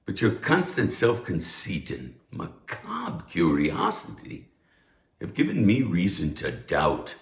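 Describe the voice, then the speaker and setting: An actor playing a stern, pompous anatomy professor, speaking formally and like a lecturer in a theatrical read. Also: male voice, deep voice, wildly swooping pitch, slow, creaky, reverberant, exaggerated voice. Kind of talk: theatrical table read of a stage musical.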